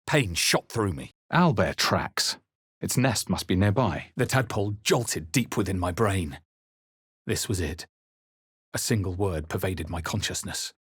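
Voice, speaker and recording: A low-voiced, brooding adventurer hero for a fantasy game. He speaks in measured, solemn phrases with crisp clarity, recorded close and dry. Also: lively intonation, fairly bright tone, no room sound, full character voice. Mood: brooding, intense. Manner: measured, dramatic, solemn delivery. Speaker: video game voice actor. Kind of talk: dramatic first-person game narration and character lines.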